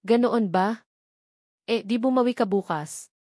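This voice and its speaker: A woman's voice: on the high side, unhurried, mellow, dry recording, clean studio sound. A woman voicing a cheerful, teasing young woman, a bossy best friend, in an audiobook. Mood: upbeat, teasing. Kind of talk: audiobook dialogue.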